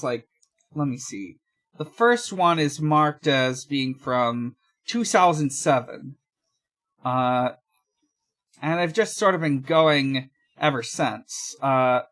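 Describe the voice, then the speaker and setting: A podcast host with a medium-pitched voice speaks slowly in a casual discussion. The delivery is halting and hesitant, with frequent pauses as sentences trail off. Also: fairly bright tone.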